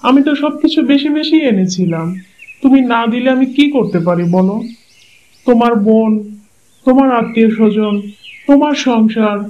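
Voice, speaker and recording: Cartoon dub: a man as a calm, trusting young husband, Bangladeshi Bengali accent, higher male voice, dull lo-fi audio. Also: moderate pace, pauses often. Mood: puzzled. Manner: calm, reasonable explaining. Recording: dull lo-fi sound.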